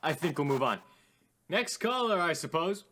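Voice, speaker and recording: A man playing a courteous, theatrical radio call-in host with formal, drawn-out greetings in a radio drama. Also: a little high, big pitch swings, pushed and bright, exaggerated voice. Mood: polite, theatrical.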